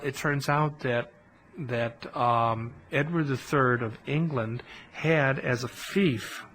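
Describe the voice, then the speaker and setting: Man telling history in colloquial style. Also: mid-range voice. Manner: animated storytelling, colloquial asides. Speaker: history lecturer on a podcast. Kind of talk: conversational history lecture.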